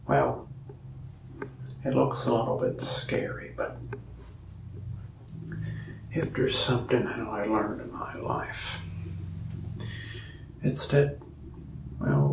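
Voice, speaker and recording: Young male salesman for ASMR roleplay: heavy vocal fry, soft whispery folksy delivery, slow and unhurried, recorded from a little way off. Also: fairly low pitch, reverberant, recorded from a distance, background noise. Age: young adult. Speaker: mask shop salesman in roleplay. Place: indoor room before a wall of masks.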